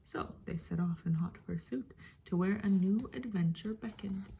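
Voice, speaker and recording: A woman storyteller giving a dramatic reading of a rhyming picture book, expressive, with hushed and emphatic passages. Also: medium pitch, moderate pace, gravelly. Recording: faint background noise.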